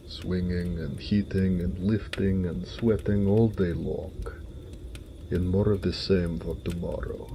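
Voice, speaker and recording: A man voicing a gentle, hardworking orc blacksmith in an audio roleplay, weary and good-natured, musing to himself in a homely way. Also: low voice, background noise. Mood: tired.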